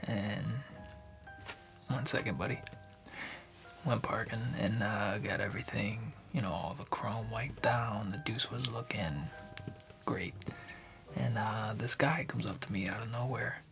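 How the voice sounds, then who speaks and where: A nosy noir bartender, played by a man, chats in a low, gravelly half-whisper and keeps stumbling over uhs. His voice sits a bit low. Soft music plays faintly behind him. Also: unhurried, long pauses. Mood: chatty. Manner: hesitant, chatty, with frequent uhs. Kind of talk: ASMR noir radio drama.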